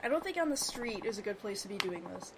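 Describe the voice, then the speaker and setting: A woman's voice: mid-range voice, lively intonation, fast talker, background noise. Stuffy-nosed woman with wry remarks. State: stuffy from a cold. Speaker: let's play co-commentator. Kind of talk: casual game commentary.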